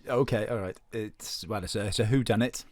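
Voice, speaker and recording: A man's voice: lowish voice, brisk, darkish tone, no echo, clean studio sound. A man chatting casually about the production, matey and joking, with many restarts. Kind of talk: casual chat.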